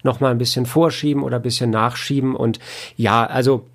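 A man's voice: mid-range voice, fairly even intonation, a little fast. A man speaking casually in an interview as an audio editor, explaining how he works in a hesitant, thinking-aloud way.

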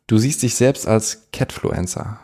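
A man interviews a guest in a casual, conversational way. His voice sits fairly low and has a soft, mellow tone. He speaks informally and likes to tease. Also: measured pace. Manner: informal, teasing. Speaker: podcast interviewer.

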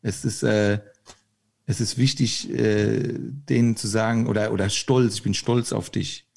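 A man's voice: on the low side, fairly even intonation, unhurried, gravelly, darkish tone. A middle-aged man with a German regional dialect, talking at length as a podcast guest, full of restarts and laughter. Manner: rambling, many restarts, laughs often. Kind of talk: casual podcast conversation.

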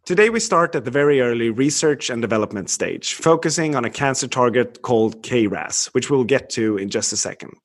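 A man from Sweden hosts a podcast at a middle pitch. He reads a scripted opening, then talks more loosely with fillers and pauses. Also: expressive. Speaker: podcast host. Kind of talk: podcast interview.

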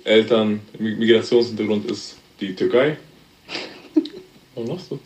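A man's voice: fairly low pitch, measured pace, poor audio. A man in his thirties from Germany, chatting casually on a podcast with a loose, rambling manner. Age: 30s. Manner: relaxed, rambling, with fillers and laughs. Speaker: podcast guest.

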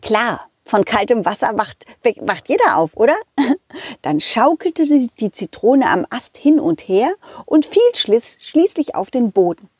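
A woman's voice: a little high, sing-song, unhurried, a little mellow, slightly rough, dry recording, studio quality, full character voice. A woman voicing a cheerful, trusting male dog who chattily tells children's stories in a childlike, direct way. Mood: excited storytelling. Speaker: storytelling puppet character, host. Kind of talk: lively children's audio story narration.

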